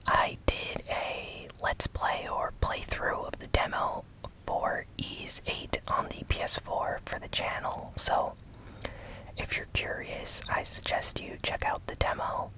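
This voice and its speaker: Slow whispered ASMR gaming news host. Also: rough recording. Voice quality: breathy unvoiced whisper. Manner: slow, soft, unhurried delivery.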